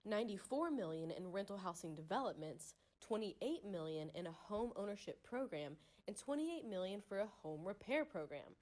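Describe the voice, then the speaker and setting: Lively young woman reporting the news. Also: medium pitch. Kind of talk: scripted news report voice-over.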